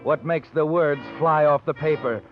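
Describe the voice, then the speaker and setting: A man's voice: fairly high pitch, moderate pace, natural voice. An actor playing a knowing, taunting narrator in 1940s radio suspense style, needling a guilty woman. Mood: taunting, ominous. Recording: dull old radio recording, music behind.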